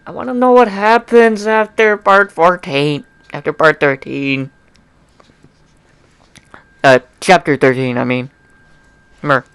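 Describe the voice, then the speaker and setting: Young guy doing a dramatic fanfic read, smooth voice, takes his time, chatty and keeps interrupting himself. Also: a little high, steady tone, slow, pauses often, fairly bright tone, no room sound. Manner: informal, self-interrupting, apologetic. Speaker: fan fiction reader. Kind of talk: dramatic amateur reading with chatty asides.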